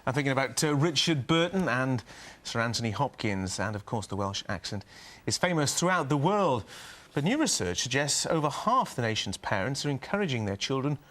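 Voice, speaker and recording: A man's voice: mid-range voice, very animated, fairly quick, few pauses, fairly bright tone. A man presenting a regional evening news programme, chatting with his co-host and introducing a studio guest.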